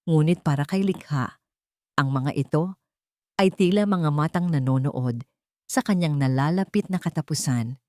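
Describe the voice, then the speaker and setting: A woman narrating a scripted dramatic story in measured, suspenseful phrasing. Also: low-pitched, a little mellow, dry recording, studio quality.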